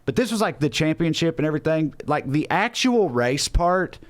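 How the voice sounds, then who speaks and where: A man's voice: on the high side, very animated, moderate pace. A man with a Southern US accent chatting casually and profanely as a podcast co-host, telling stories in a rambling, conversational way. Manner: rambling, conversational, swears freely. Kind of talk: casual profane chat and storytelling.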